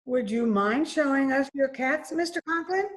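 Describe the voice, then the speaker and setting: A woman's voice: high-pitched, animated, moderate pace. A woman playing a suspicious, prim, disapproving mother in old-time radio comedy style. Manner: prim, skeptical. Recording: faint noise and clicks, remote recording.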